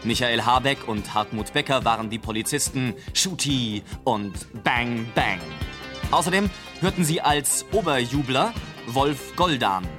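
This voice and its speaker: Male announcer for radio play credits, mid-pitched, scripted delivery, prominent music underneath. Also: expressive, a little slow.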